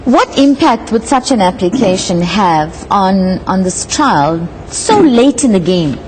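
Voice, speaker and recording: A woman's voice: mid-range voice, expressive, slow pace, a little mellow, slight background noise, rough recording. A woman hosting a live interview, putting questions to a guest. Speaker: interviewer, host.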